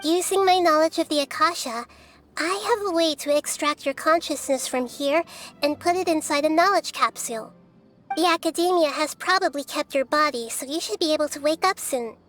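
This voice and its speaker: A woman voicing a small, wise, gentle goddess who speaks in a measured, formal way in an anime game. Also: sits high. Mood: calm, firm. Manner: measured, soft-spoken, formal. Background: background music.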